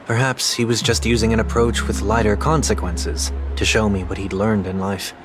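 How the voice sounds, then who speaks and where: An actor voicing a fastidious, formal young man of authority in a video game, speaking in a measured, precise way with quiet self-criticism. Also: lowish voice, fairly even intonation, over music, natural voice. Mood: reflective, measured.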